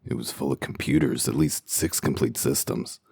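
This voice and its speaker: Man playing a very deep, gruff wolf detective. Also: fairly even intonation, moderate pace, darkish tone, dry recording, heavily acted. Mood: dry, matter-of-fact. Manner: dry, sardonic, terse. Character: gruff, cynical wolf detective in human guise. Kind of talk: comic dub voice acting.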